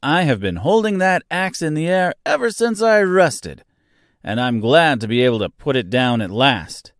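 A man voicing a polite, sad, rusted man made of tin in a storybook, speaking slowly and earnestly. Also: a little high, very animated, no echo, clean studio sound, voice pushed up. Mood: relieved. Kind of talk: storybook character voice.

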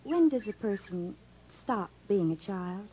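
An actress playing an earnest, cautious young country sweetheart in a 1950s American radio drama, gently pressing and a little hesitant. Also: female voice, mid-range voice, lively intonation, measured pace, slight background noise, lightly acted. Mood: earnest, gentle. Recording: dull 1950s radio broadcast recording.

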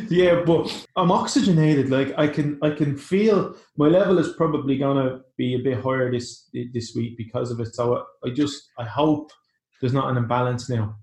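A man's voice: medium pitch, normal speed, a little mellow, roomy sound, slight background noise. A young adult Irish man co-hosting a podcast in casual chat, laughing easily. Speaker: podcast co-host.

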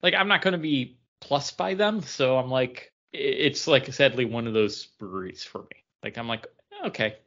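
A man's voice: medium pitch, moderate pace, a bit bright. A man talking casually as a co-host on a podcast. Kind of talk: casual chat. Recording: dull lo-fi audio.

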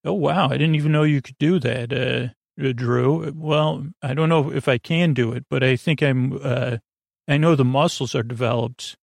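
A man with a lot of fry in his mid-pitched voice hosts a sleep podcast. He wanders from tangent to tangent, halting, restarting and interrupting himself. The sound is dry, with no room around it. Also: dry recording. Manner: meandering, halting, stammering, self-interrupting tangents. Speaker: podcast host. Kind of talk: rambling sleep podcast monologue.

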